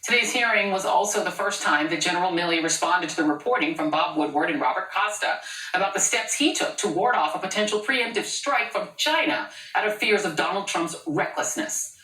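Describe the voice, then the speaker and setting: A woman's voice: fairly low pitch, lively intonation, fairly quick, bright, forceful tone. A woman anchoring a cable news show, delivering pointed, sarcastic commentary. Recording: roomy sound from a distant mic.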